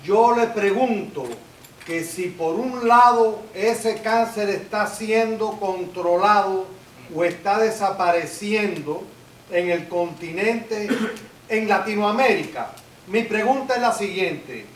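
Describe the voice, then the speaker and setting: A man in the audience asks a formal question in a slow, high voice. He is some distance from the mic in an echoey room, with faint ambient noise around him. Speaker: audience member asking a question. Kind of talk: asking a question in a public Q&A.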